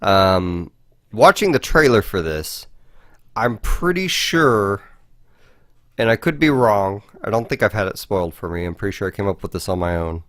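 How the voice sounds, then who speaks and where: A man's voice: lowish voice, sing-song, normal speed, no echo, studio quality. A man co-hosting a podcast in casual chat, rambling and hesitant, with a self-deprecating manner. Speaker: podcast co-host.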